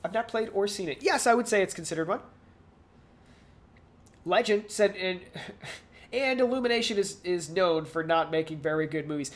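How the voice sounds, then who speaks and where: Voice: mid-range voice, lively intonation, moderate pace, pauses often. A podcast co-host chatting casually about pop culture, speaking in a hesitant, rambling way and often repeating words.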